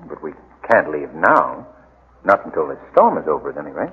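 A man playing a composed, inquisitive gentleman sleuth, measured and polite, in 1940s radio drama style. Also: rumbling low, big pitch swings. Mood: composed, probing. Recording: tinny, hissy 1940s radio, long reverb.

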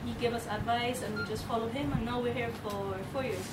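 A woman's voice: a little high, steady tone, a little fast. Woman, distant and noisy. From Barbados. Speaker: interviewee. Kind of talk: casual conversational interview. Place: inside a trailer. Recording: roomy, far from mic, very noisy.

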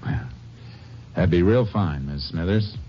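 An actor playing a steady Texas Ranger in a 1950s radio drama, speaking calmly and politely with a Texan accent. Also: male voice, deep voice, a little slow. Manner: calm, polite, plainspoken. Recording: muffled old radio recording with faint hiss.

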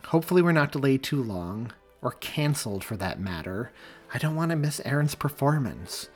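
A man voicing a tired, frazzled mother who sounds exasperated and sharp. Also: mid-range voice, big pitch swings, normal speed, husky, soft background music.

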